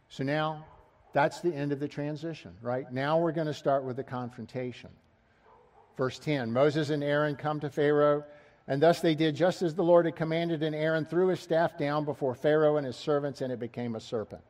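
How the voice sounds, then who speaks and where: A man's voice: mid-range voice, darkish tone. A middle-aged man preaching an expository sermon, asking his listeners rhetorical questions and pressing key words with rising emphasis.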